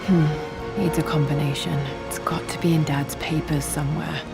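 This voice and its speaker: An actress playing a pensive young British heiress and explorer, musing aloud in a video game. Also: female voice, low-pitched, steady tone, normal speed, gravelly. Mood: focused, musing. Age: young adult. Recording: music behind the voice.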